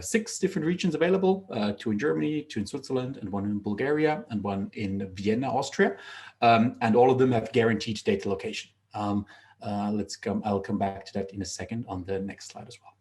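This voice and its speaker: A man's voice: medium pitch, darkish tone. A middle-aged man, presenting his company's services in a webinar over a video call, with many ums and uhs and restarts. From Finland. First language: Finnish. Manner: frequent um and uh fillers, restarts mid-phrase. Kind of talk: product presentation.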